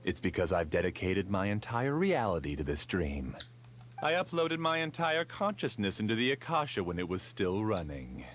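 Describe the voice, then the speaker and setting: A smooth, deep-voiced villain scholar. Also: male voice, big pitch swings, normal speed. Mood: calm. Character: obsessive male scholar villain, calm and self-assured. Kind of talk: game voice acting.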